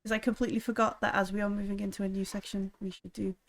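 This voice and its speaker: A woman's voice: mid-range voice, flat delivery, very fast. A woman chatting casually as game master of a tabletop roleplaying game.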